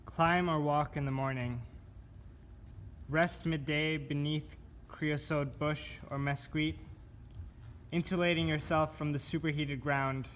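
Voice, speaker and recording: A man giving a scripted spoken-word reading of poetic survival instructions in a measured, deliberate manner. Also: on the high side, fairly even intonation, fairly bright tone. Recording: clear background noise.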